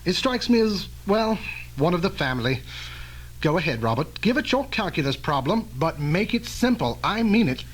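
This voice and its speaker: A man acting as an earnest older scientist in a radio play. His high voice swings expressively as he speaks in formal, measured, admonishing phrases. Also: big pitch swings, poor audio. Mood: cautious. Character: earnest, cautious older male scientist, protective mentor. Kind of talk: old-fashioned radio drama acting.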